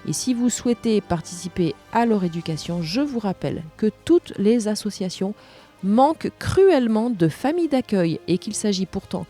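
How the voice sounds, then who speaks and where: A woman podcast host explaining and presenting in a measured way. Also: medium pitch, lively intonation, mellow, soft background music.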